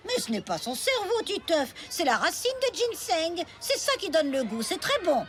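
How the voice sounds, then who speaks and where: A woman voicing a flustered but patient cartoon schoolteacher, expressive and explanatory. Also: extremely high pitch, moderate pace, full character voice. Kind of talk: French animated cartoon acting.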